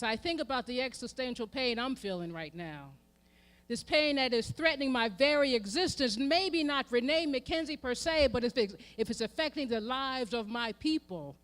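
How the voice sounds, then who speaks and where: A woman with an American accent delivers an impassioned sermon, using emphatic repetition and swelling from hushed to forceful. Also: a little high, animated, normal speed. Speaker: reverend speaking at a memorial event. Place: live event before an audience.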